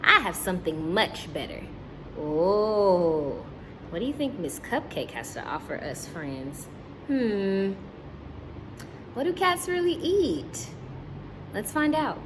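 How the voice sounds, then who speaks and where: A woman's voice: medium pitch, sing-song, takes it slowly, long pauses, background noise. A woman teacher reading a story aloud to young children, breaking off to question and explain things to her listeners.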